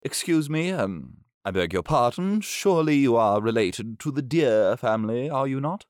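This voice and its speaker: A man's voice: mid-range voice, animated, normal speed, clear, resonant tone, dry recording, studio quality, natural voice. A man voicing a kind, mild-mannered doctor in a storybook reading, hesitant and courteous, often murmuring.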